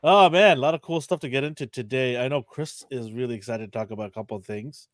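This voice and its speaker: A man with a raspy, under-the-weather voice hosting a casual panel chat. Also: mid-range voice, fast talker, dry recording, clean studio sound. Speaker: host.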